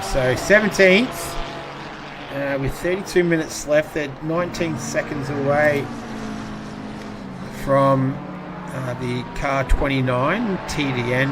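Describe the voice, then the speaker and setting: A man giving measured, factual live commentary as a sim racing commentator. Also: medium pitch. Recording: loud car engine noise. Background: race car engines.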